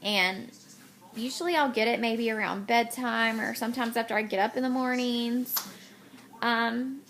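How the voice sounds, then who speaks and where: A woman's voice: fairly high pitch, fairly even intonation, normal speed, a bit bright, a little hiss or hum. A woman in her twenties chatting casually to the camera, rambling with many ums. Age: 20s. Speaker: vlogger.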